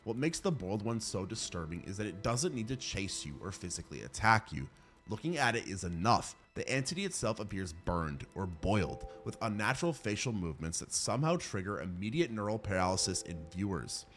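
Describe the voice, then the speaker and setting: Animated male narrator with dramatic delivery. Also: fairly low pitch, brisk, soft background music. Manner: dramatic, emphatic, with sardonic asides. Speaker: video host, narrator. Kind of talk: scripted horror countdown commentary.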